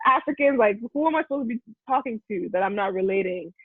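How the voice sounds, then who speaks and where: A Nigerian woman, a Yoruba native speaker, talking as an interview guest in an animated way, often restarting her sentences and laughing. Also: medium pitch, fast talker, slightly rough, no echo. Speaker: guest content creator. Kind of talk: reflective interview talk. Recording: tinny phone-like line, dry.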